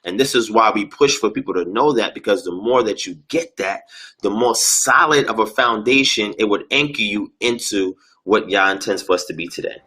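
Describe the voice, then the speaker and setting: Male interview guest, bright husky voice, earnest teacher who stretches key words. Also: fairly low pitch, moderate pace. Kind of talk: explanatory lecture-style talk.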